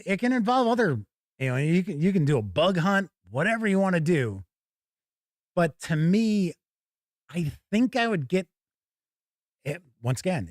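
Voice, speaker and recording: A man hosting a tabletop game show, giving casual, halting live unboxing commentary and thinking aloud. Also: fairly high pitch, dry recording, clean studio sound.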